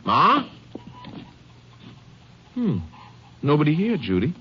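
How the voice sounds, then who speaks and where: An actor playing a young married father in a 1950s radio drama, earnest and lively. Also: a little high, slow pace, full character voice. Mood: cheerful. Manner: earnest, rising to anguished shouts. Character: young married father, shocked grieving son. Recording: muffled 1950s radio recording with hiss.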